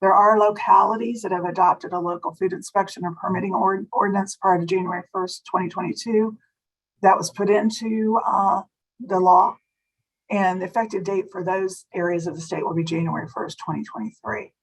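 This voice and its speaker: A woman giving a food safety presentation at a webinar, slightly hoarse and explaining step by step with small restarts. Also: medium pitch, fairly even intonation, moderate pace, rough recording. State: slightly hoarse, losing her voice.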